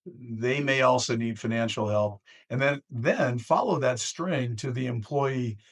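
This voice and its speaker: A man hosting an interview, asking questions conversationally in short, thoughtful, measured phrases. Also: medium pitch. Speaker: interviewer, host.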